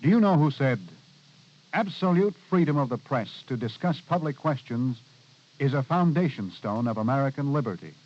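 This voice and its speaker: A man's voice: mid-range voice, lively intonation. Male announcer, mid-range voice, solemn and declamatory scripted public service read, dull vintage radio sound. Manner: formal, measured, declamatory.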